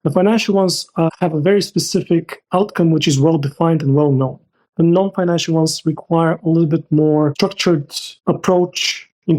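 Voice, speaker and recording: A middle-aged man speaking as an interview guest, explaining things in a measured, structured way with careful definitions. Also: a little high, a little mellow, low-quality recording. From Bulgaria. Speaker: podcast guest, risk manager.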